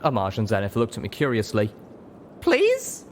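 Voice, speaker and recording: A man's voice: sits low, fairly even intonation, moderate pace, slight background noise. A man reading an audiobook, giving scripted fiction a dramatic narration. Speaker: audiobook reader.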